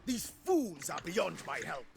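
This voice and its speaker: An actor playing an irascible, bullying Greek admiral in a video game, gravelly and ranting with contempt. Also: male voice, high voice, sing-song, unhurried, exaggerated voice. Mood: furious, disgusted. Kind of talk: dramatic video game voice acting. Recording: loud music behind the voice.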